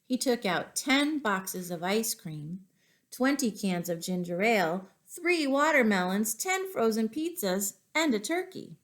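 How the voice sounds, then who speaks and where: A woman teacher reading poems and picture books aloud to young children, as an expressive, deliberate storyteller. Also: a little high.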